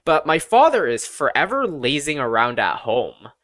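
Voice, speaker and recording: Expressive audiobook narrator with lively pitch. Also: moderate pace, dry recording, clean studio sound. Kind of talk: scripted first-person story narration.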